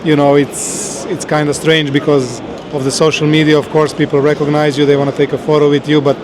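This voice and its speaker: A man with a Serbian accent, a guest in a casual interview, speaking in a steady, measured way with some hesitation. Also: a little high, background noise. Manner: steady, measured, a little halting.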